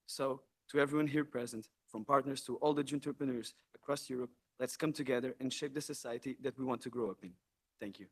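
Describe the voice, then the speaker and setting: A man's voice: medium pitch, breathy edge. Earnest young man giving a formal speech. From Portugal. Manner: earnest, measured, occasional self-corrections. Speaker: incoming president of a student network. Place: large hall.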